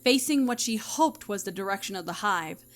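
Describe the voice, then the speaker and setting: A woman's voice: medium pitch, lively intonation, moderate pace. A woman reading scripted story narration as the narrator of an audio play. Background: music.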